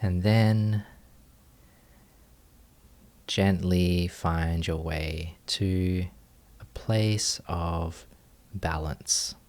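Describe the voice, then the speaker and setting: A man leading a guided meditation as a teacher, speaking gently in short, unhurried phrases with long pauses. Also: low voice, fairly even intonation.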